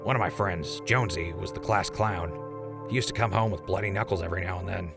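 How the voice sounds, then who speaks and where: Man playing a low-voiced wry wasteland radio host. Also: fast, gravelly, music in the background. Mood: wry sales patter. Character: wisecracking obnoxious ghoul radio host, male. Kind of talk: post-apocalyptic audio drama, radio patter.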